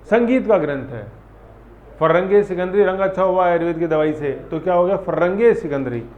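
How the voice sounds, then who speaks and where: A man's voice: high voice, lively intonation, moderate pace. A man teaching an exam-prep history lesson in a chatty, repetitive way, often quizzing his students. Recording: echoey room, dull audio, faint ambience.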